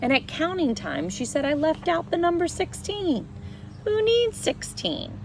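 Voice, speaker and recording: A woman reading a children's picture book aloud in an expressive, animated way, stressing and drawing out key words. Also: sits high, a little hiss or hum, rough recording. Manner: animated, storytelling with emphatic stresses and drawn-out words. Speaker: read-aloud presenter.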